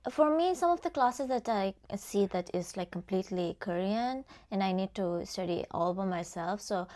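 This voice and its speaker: A young woman hosting a casual conversation, speaking haltingly with many restarts and "like". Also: mid-range voice, expressive, mellow. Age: young adult. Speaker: host.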